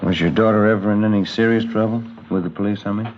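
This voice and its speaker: Low-voiced, businesslike police detective played by an actor. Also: male voice, fairly even intonation, quick pace, close to an everyday voice. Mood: courteous. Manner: brief, businesslike. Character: plain-spoken, courteous male police detective partner. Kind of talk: 1950s radio police drama acting. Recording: dull, hissy vintage radio broadcast.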